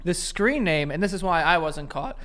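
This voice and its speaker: A man's voice: a little high, lively intonation, normal speed, fairly bright tone. A young man co-hosting a podcast, telling stories and teasing his co-host in casual chat, into a desk mic in a small studio. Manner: storytelling, teasing. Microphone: desk mic on stand.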